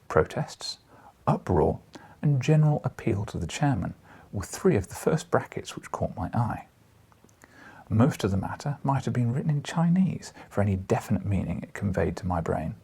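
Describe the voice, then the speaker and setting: A man reading a story aloud as an expressive audiobook narrator, with a husky voice. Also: lowish voice, moderate pace. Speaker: audiobook reader. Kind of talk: expressive audiobook narration with brief casual asides.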